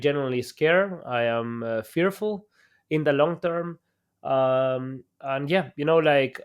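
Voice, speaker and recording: A man from El Salvador, a guest entrepreneur, talking casually in an interview with many fillers and restarts. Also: mid-range voice.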